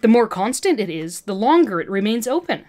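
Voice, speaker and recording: A woman's voice: on the high side, big pitch swings, fairly quick, a little mellow. A woman voicing an arrogant, agitated woman scientist villain with an intense, condescending manner in a fan fiction reading. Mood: smug lecturing.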